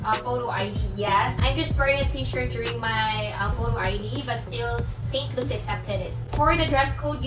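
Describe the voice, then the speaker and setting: A woman nurse with a Filipino accent, narrating a chatty, upbeat vlog with practical tips and switching languages mid-sentence. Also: on the high side. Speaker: nurse and vlogger. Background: background music.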